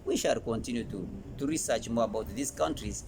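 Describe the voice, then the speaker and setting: Ugandan male educational presenter, emphatic and halting delivery, mid-pitched voice, some background noise. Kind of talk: informal educational presentation to camera.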